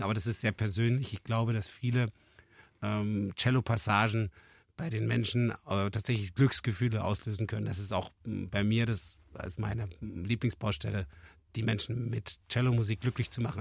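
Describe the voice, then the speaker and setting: A middle-aged man speaking with a low, gravelly voice roughened by a cold. He explains things patiently and earnestly, like a festival director answering an interviewer. The sound is close and dry, with no room around it. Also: no room sound. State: has a cold, voice husky.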